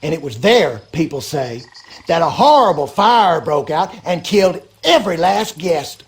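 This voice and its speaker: An actor playing a gossipy, superstitious backwoods husband in a video game, with a rural Louisiana accent and folksy, colloquial talk. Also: male voice, on the high side, expressive, normal speed, a little hiss or hum. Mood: friendly, storytelling. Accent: rural Louisiana Southern American. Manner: folksy, drawling, colloquial storyteller. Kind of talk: animated game character dialogue.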